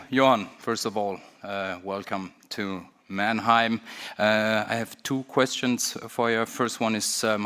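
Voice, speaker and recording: A man moderating a press conference, introducing the new coach and opening the floor to questions politely and briskly. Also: fairly low pitch, a bit bright.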